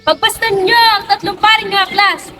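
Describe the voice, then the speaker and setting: A woman's voice: extremely high pitch, fairly even intonation, moderate pace, noisy background. A woman playing a stern, commanding male colonial general in an amateur period drama.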